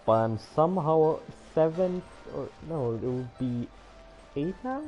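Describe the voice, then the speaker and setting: A man streaming a game, chatting casually and thinking out loud in a rambling way. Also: a little high, lively intonation, a little slow, highs cut off, soft background music. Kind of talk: casual livestream chat while gaming.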